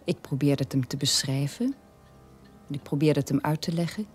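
A woman's voice: low-pitched, normal speed, a little mellow, soft background music, close to an everyday voice. An actress playing a troubled middle-aged mother telling her family's story in a radio play monologue. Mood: reflective, troubled.

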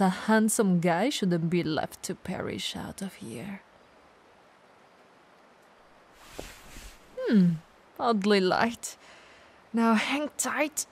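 A woman playing a teasing, dominant but caring vampire in an ASMR audio roleplay, breathy and gravelly, often dropping to whispers, coaxing and playfully condescending. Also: mid-range voice, slow pace, darkish tone, slight background noise. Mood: flirty, teasing.

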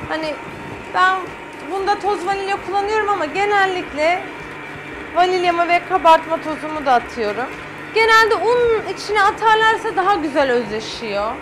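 A woman's voice: extremely high pitch, lively intonation, moderate pace, background noise. A middle-aged woman, a guest chef on a studio cooking show, explaining each step as she works. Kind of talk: cooking demonstration. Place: TV studio kitchen set.

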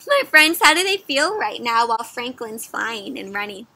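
Young woman, children's read-aloud host. Very bright, high voice, animated and engaging with young listeners. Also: normal speed. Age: young adult. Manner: animated, addresses listeners with frequent questions. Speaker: children's story reader, host. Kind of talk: interactive picture-book read-aloud for kids. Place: outdoors under trees.

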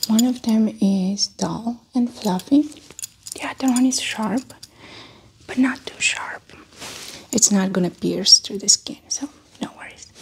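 A woman speaks softly and unhurriedly in an ASMR doctor roleplay, slipping between breathy voice and whisper. She gives gentle, reassuring instructions one small step at a time. There is light background noise with small clicks. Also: fairly high pitch. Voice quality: soft, breathy, often whispered. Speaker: ASMR performer playing a doctor. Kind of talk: soft-spoken ASMR medical exam roleplay.

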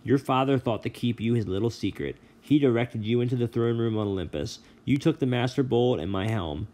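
A man's voice: medium pitch, fairly quick, warm tone, close to an everyday voice. A man voicing a ranting god of the dead. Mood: menacing. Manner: bellowing, harried and threatening. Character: furious aggrieved male god of the dead. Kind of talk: audiobook reading.

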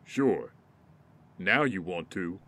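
Over noisy ambience comes a low-pitched ex-Marine , acted by a man. He is principled and guarded, quick to moral indignation, in the manner of a superhero audio drama. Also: expressive, fairly bright tone, heavily acted. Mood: accusing, indignant.

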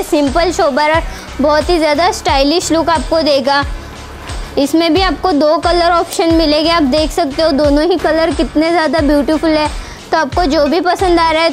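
A young woman presenting outfits in a shop, with upbeat and repetitive sales patter, recorded on a lapel mic. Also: high voice. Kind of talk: product showcase sales pitch. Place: indoor shop with green wall.

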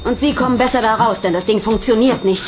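An actress playing a seasoned, wry technician in a sci-fi audio drama, matter-of-fact and unflappable. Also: female voice, fairly high pitch, quick pace, music in the background, close to an everyday voice. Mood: firm.

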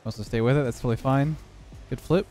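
A young man talking through his own matches in a relaxed, steady running commentary. His voice is hoarse and a little rough from having lost it earlier, sitting at an ordinary middle pitch. Faint game music plays under him. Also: fast talker. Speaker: gaming YouTuber. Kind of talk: live gameplay commentary.

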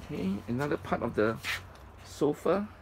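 A man giving an informal, halting item-by-item walkthrough as a presenter at a storage unit. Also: lively intonation. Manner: halting, piecemeal delivery. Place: storage facility, at open unit. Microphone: handheld phone camera, speaker behind it. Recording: noisy handheld recording, traffic-like rumble.

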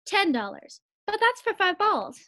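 A woman's voice: extremely high pitch, big pitch swings, unhurried, sharp tone, full character voice. A woman acting a scheming, cheerful, scatterbrained housewife in a 1940s-style radio sitcom. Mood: chirpy.

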